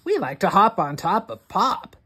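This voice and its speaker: A woman reading a rhyming picture book aloud for young children, word by word, with deliberate expression. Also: medium pitch, breathy edge. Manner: deliberate, word-by-word, expressive delivery for early readers. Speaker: children's read-along host.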